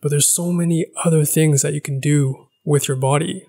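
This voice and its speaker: Relaxed young man musing, slightly gravelly. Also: a little high, normal speed. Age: young adult. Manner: thoughtful, rambling with restarts and fillers. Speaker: language-learning vlogger. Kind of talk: casual reflective monologue. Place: small living room with a couch. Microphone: boom-arm podcast mic.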